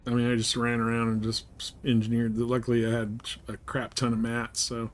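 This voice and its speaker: A man streams a video game with an average-pitched voice. He talks casually and rambles off the cuff, dropping plenty of gaming jargon as he goes. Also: monotone, a little fast. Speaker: live streamer.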